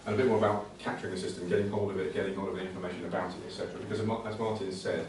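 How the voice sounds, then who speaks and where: A man's voice: deep voice, little pitch movement, racing, a little mellow. A man giving an informal technical presentation, speaking in a hesitant, conversational way and often repeating words. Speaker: presenter at a computing conservation talk. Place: indoor talk venue. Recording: very echoey room, distant mic, faint noise.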